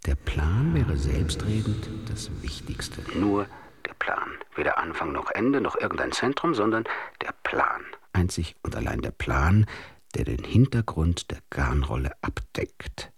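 A man acting a brooding figure in a literary radio drama monologue, obsessively deliberating in halting mutters that often sink into breathy whispers. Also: low voice, big pitch swings, dry recording, exaggerated voice. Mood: hushed, absorbed.

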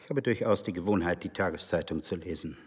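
An actor playing a stolid, portly informer in a radio drama, terse and sullenly defensive. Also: male voice, deep voice, fast, slightly rough. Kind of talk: German radio drama acting.

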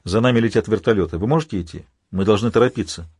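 Мужчина играет деловитого спасателя с сипловатым голосом. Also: male voice, on the low side, quick pace, darkish tone, husky, no echo, studio quality. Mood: urgent. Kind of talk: dramatic audiobook character voice.

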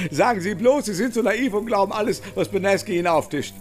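An actor playing a bitter, heartbroken, wrathful writer in a radio drama, scornful, formal and emphatic. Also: male voice, sits high, fairly quick, soft background music, natural voice.

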